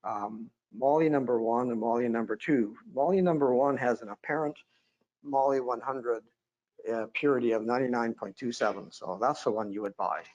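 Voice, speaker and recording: A man's voice: medium pitch, normal speed, a little mellow, highs cut off. A man giving a technical conference lecture over a video call, with a droning voice, often hesitating with fillers and restarts.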